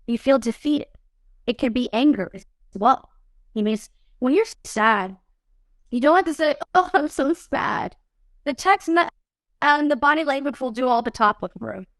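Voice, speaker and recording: A woman's voice: sits high, lively intonation, normal speed, a bit bright, dry recording. A young adult woman, an actress being interviewed, talking casually and animatedly, often saying "you know what I mean". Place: studio podcast set.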